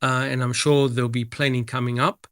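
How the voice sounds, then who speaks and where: A man speaks as a guest on a casual online panel in a measured way, often pausing to fill gaps. His voice sits at an ordinary middle pitch. The sound is dry, with no room echo. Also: flat delivery, a bit bright. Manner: measured, uses filler pauses.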